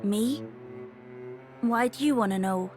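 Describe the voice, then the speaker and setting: A scrappy young boy thief in a video game answers back with clipped defiance, as a woman voices him. His voice is high, and faint music runs beneath it. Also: takes it slowly, a little mellow. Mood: sullen.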